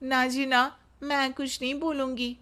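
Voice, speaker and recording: Storybook young daughter-in-law played by a story performer: high-pitched, meek, polite and dutiful. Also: little pitch movement, unhurried, bright, forceful tone. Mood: evasive. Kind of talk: comic moral story dramatization.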